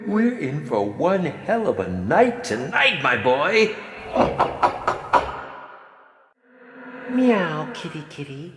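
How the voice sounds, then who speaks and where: An actor playing a sinister, flamboyant horror film director, gleefully sadistic and mocking, in theatrical video game villain lines that swing between hushed purring and shouting. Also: male voice, high-pitched, exaggerated voice. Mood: mocking, sly. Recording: echoey reverberant voice over loud music.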